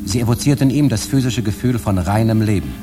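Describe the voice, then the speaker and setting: A man's voice: on the low side, a little fast, over music, close to an everyday voice. A man playing a market researcher in a radio drama, reading a psychological study in a formal, matter-of-fact way. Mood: clinical. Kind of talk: scripted report reading in satirical radio drama.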